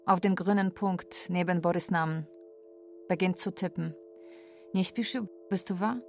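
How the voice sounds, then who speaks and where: An actress playing a lonely, restless Polish caregiver in a radio drama, narrating in a plain-spoken way. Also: female voice, lowish voice, steady tone, a little slow, soft background music. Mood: wistful, waiting.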